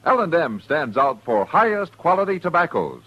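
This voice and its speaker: A man's voice: a little high, very animated, normal speed, bright, forceful tone, husky. An American man delivering a punchy, slogan-driven 1950s radio commercial as announcer. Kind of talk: 1950s radio advertising pitch. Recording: muffled old-time radio recording.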